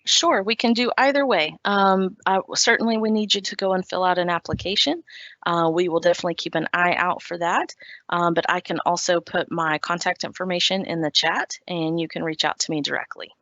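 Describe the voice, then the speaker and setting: A woman's voice: fairly low pitch, fairly even intonation, fairly quick, a bit bright, no room sound. A woman with a Texan accent, speaking as a recruiter at an online career fair, friendly and hesitant with many fillers. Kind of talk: virtual career fair presentation. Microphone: video call. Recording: dull dry video call audio.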